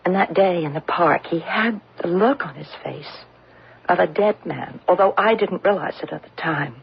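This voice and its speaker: A woman's voice: lowish voice, moderate pace, vocal fry, husky, heavily acted. An actress playing a reproachful, moralizing woman, earnest and accusing, in an old-time radio drama. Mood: haunted, regretful. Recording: muffled old radio broadcast.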